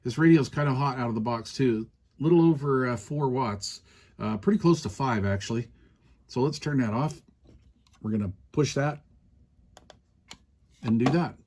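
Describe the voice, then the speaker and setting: A man's voice: mid-range voice, moderate pace, pauses often, a little mellow. A man giving a casual hands-on product demonstration as a reviewer, explaining things in a conversational way.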